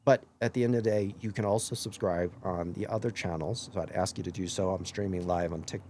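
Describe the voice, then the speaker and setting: A hoarse man hosting his own live stream, talking earnestly in a rambling way and often correcting himself mid-sentence. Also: deep voice, warm tone. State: hoarse after illness. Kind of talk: casual live-stream monologue.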